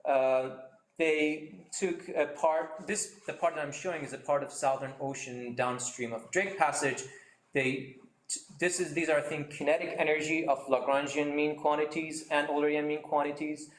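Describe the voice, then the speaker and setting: A man's voice: a little high, fairly even intonation, normal speed, reverberant, a little hiss or hum, rough recording. A man giving an academic seminar talk over a video call, explaining slides with frequent fillers and restarts. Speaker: seminar speaker.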